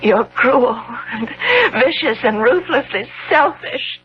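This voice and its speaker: An actress playing an earnest, heartbroken young fiancée in a melodramatic, halting, imploring way, in a 1970s radio drama. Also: female voice, fairly high pitch, slight background noise. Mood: anguished. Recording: muffled, humming old radio broadcast.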